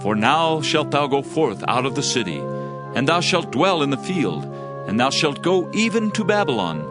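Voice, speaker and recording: An actor playing a fervent, solemn prophet in a dramatized scripture reading, declaiming judgment and hope. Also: male voice, mid-range voice, very animated, moderate pace, close to an everyday voice. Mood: solemn, exalted. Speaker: Bible narrator. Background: music.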